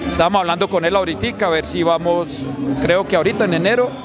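A man's voice: high-pitched, fast talker. A middle-aged man from Antioquia, Colombia, with an Antioquian Colombian accent, chatting earnestly about his music career in an outdoor street interview. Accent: Colombian Spanish, Antioquia. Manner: earnest, chatty, with frequent restarts. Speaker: singer and songwriter. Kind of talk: casual interview chat. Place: outdoors at a busy urban gathering. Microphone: handheld mic held by interviewer. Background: crowd chatter and loud music.

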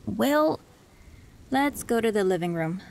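Woman doing a quiet wistful cyberpunk tech girl, soothing, faint hiss behind. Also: mid-range voice, lively intonation, slow pace, creaky. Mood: soft. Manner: soft, soothing, reflective with small laughs. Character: gentle young woman tech fixer, wistful. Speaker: voice actor. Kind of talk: audio roleplay.

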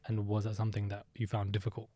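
A man hosting a podcast, asking relaxed and empathetic questions. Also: lowish voice, quick pace, no echo. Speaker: podcast host. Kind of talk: casual podcast interview. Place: small studio with sofa and purple lighting. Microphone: studio boom mic close up.

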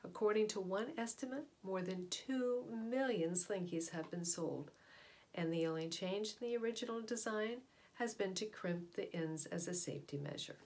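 A middle-aged woman with an American accent reading aloud to children like a storyteller, pausing to address the listener with explanations and questions. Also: medium pitch, darkish tone. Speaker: read-aloud presenter. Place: indoor room at home.

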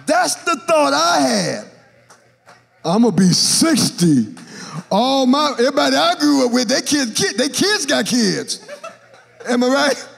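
Lively high-voiced older preacher in a hall. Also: male voice, unhurried, gravelly, pauses often, soft background music. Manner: animated, acts out Bible characters, jokes with the congregation. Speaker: bishop. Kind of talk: sermon. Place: church sanctuary stage. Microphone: handheld microphone. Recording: long reverb of a large church hall.

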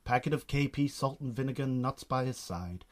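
A man's voice: mid-range voice, normal speed. A man with a Northern Irish accent narrating a scripted radio drama.

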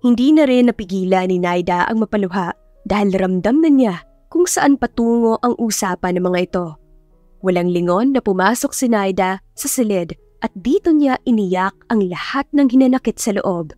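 A woman's voice: medium pitch, moderate pace, darkish tone, no room sound. A woman audiobook narrator reading a scripted romantic drama in a dramatic storytelling style. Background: background music.

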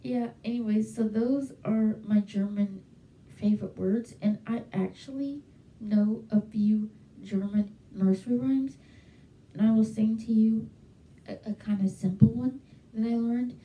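Young woman rambling happily about stuff, warm mellow voice, roomy bedroom echo, bit far off. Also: a little high, fairly even intonation, unhurried, dull audio. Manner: chatty, enthusiastic, many fillers. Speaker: vlogger. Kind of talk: casual talk to camera. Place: small bedroom at home. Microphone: handheld mic held close, webcam.

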